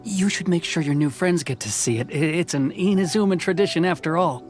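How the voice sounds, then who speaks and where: A man's voice: a little high, a little fast, lightly acted. An actor playing a nervous, cautious young man in a video game who hesitates and stammers politely. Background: game background music.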